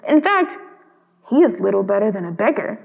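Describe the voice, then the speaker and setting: A woman's voice: mid-range voice, big pitch swings, normal speed, creaky, darkish tone, pitched below the performer's own voice. A woman voicing a pompous, fussy male town mayor in a storybook, full of disapproval. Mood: indignant. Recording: long hall-like reverb.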